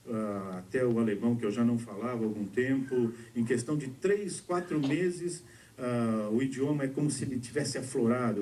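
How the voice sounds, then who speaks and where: A Brazilian man preaching, telling his testimony to a congregation and voicing the people in his story. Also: dark tone. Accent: Brazilian Portuguese. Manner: storytelling, acting out dialogue with shifts of pitch. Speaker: pastor. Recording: distant mic with faint ambience.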